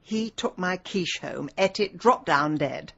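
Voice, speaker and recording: A brisk, middle-aged English woman detective in a radio drama. She is sharp, wry and defensive, with a lowish, husky voice that swings widely in pitch. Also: expressive, muffled recording, heavily acted. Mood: defensive, matter-of-fact. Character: sharp, ambitious, defensive ex-London woman amateur sleuth.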